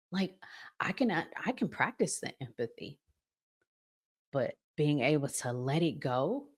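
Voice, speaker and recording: A middle-aged woman giving conversational relationship advice as a vlog host, emphatic, repeating phrases and asking rhetorical questions. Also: fairly low pitch.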